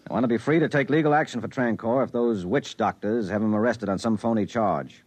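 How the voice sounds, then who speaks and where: A man's voice: fairly low pitch, fairly quick, a little mellow, no room sound, close to an everyday voice. An actor playing a determined, earnest man quitting his job, in 1950s radio drama style. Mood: earnest, resolute.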